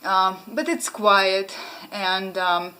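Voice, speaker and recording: A woman's voice: mid-range voice, fairly even intonation, extremely slow, pushed and bright. A young woman from Ukraine with a Russian accent, chatting casually to camera at home, hesitant with many ums and restarts. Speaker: vlogger. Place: small room at home, indoors.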